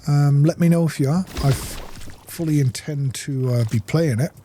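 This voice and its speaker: Male game streamer, mellow tone, informal step-by-step walkthrough commentary, faint background noise. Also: on the high side, normal speed. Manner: informal, instructional, talking viewers through each step. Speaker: game streamer and playthrough host. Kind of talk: casual let's-play commentary.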